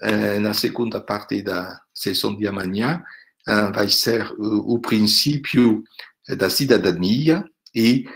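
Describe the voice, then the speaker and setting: An older man with a French accent talks through a laptop webcam from a room at home. His voice sits fairly low, and he speaks slowly and haltingly. He leans on fillers and tag questions as he wraps up a lecture. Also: gravelly. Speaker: visiting professor. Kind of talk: closing remarks of an online lecture. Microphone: laptop webcam on a video call.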